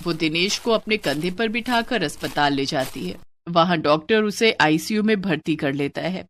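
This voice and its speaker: A woman's voice: fairly low pitch, animated, moderate pace, a bit bright, slight background noise. A woman reading a scripted moral story as the narrator.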